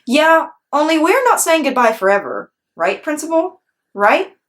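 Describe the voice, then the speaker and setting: Woman voicing an excitable, high-pitched little girl. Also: unhurried. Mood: eager. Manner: chatty, childlike, emphatic. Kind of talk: children's storybook read-aloud.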